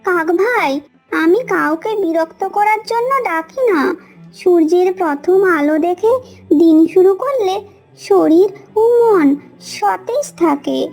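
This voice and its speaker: A woman voicing a polite, steadfast mother hen in a cartoon story, reasoning calmly. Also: extremely high pitch, takes it slowly, soft background music, full character voice. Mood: cheerful.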